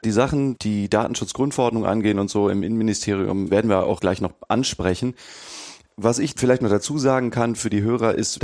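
A man hosting a podcast in a relaxed, chatty way, with fillers and easy asides. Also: on the low side, no echo, clean studio sound. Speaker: podcast host. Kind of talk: casual podcast conversation.

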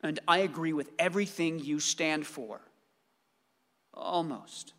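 An actor playing an excitable, adoring neighbor in a radio play, earnest and effusive in his devotion. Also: a little high, a little slow, in a large hall.

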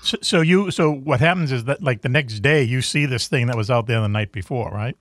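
A man's voice: mid-range voice, fairly quick, no echo. Man, talk show host, dry close sound, easygoing, repeats words as he starts sentences. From New England. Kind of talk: casual interview.

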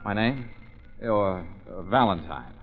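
Male exasperated, bluffing private eye for 1940s radio comedy, lively pitch, muffled vintage sound. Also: measured pace, soft background music. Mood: flustered bluffing. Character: flustered, fast-talking male private detective caught fibbing.

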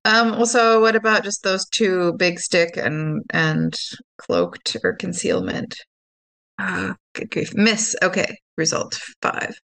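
A woman chatting casually as a tabletop game player, thinking out loud through her choices. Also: fairly low pitch, animated, takes it slowly. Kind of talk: casual chat.

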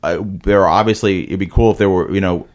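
A man's voice: low-pitched, steady tone, a little fast. A man chatting loosely on a podcast, rambling with many fillers and restarts. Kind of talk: casual chat.

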